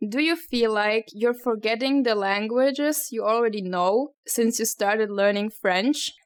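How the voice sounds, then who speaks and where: A young woman from Slovakia co-hosting a podcast, chatty and quick to laugh, with lots of "like" fillers. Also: on the high side, smooth, no echo. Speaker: podcast co-host. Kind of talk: casual podcast chat.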